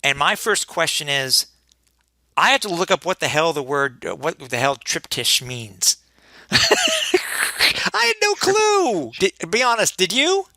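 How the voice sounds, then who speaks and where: A man co-hosting a sports podcast in casual chat, talking haltingly and often repeating his words. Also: a little high, huge pitch swings, pushed and bright, no echo.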